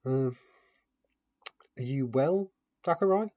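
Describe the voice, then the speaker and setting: A man playing a kindly, anxious man minding children in a fantasy story, hesitant and gently reassuring, in a muffled home recording. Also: mid-range voice, expressive, slow, no room sound, pitched above the performer's own voice. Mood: gentle, uneasy.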